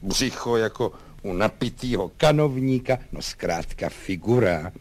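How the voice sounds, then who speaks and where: A man's voice: lowish voice, animated. A man voicing a cheerful, simple-minded soldier servant in a storybook, deferential and rambling in a folksy, colloquial way.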